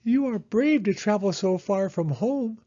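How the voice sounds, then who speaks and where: A man voicing a small, gentle, anxious female hedgehog in a children's storybook, earnest and hesitant. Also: high-pitched, animated, measured pace, lo-fi sound, voice pushed up. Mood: brave, pleased.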